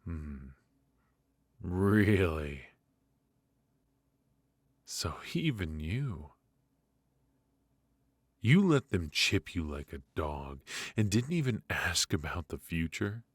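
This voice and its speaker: A man playing a ruthless, smugly menacing mafia boss in a noir ASMR roleplay, speaking deliberately and theatrically with mock affection. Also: sits low, very animated, husky, no room sound, exaggerated voice. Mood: coolly taunting. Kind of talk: ASMR roleplay, noir audio drama acting.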